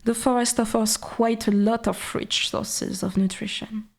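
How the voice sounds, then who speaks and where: A woman's voice: fairly high pitch, steady tone, measured pace, gravelly. A woman playing an ancient, haughty and possessive forest god in an ASMR audio roleplay, speaking in formal, archaic, condescending phrases. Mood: gently caring.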